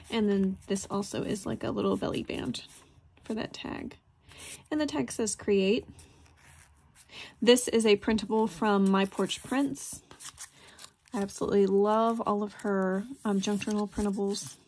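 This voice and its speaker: A woman's voice: mid-range voice, darkish tone. A woman giving a casual, chatty, enthusiastic craft flip-through, narrating informally as she presents handmade items. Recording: clear background noise, handling clicks.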